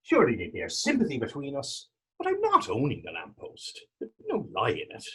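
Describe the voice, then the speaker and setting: A man playing Tommy, a talkative, gentle and wry Irish former fisherman, in staged readers theater dialogue with a folksy, halting storytelling lilt. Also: mid-range voice, huge pitch swings, breathy edge, exaggerated voice. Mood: curious, cautious.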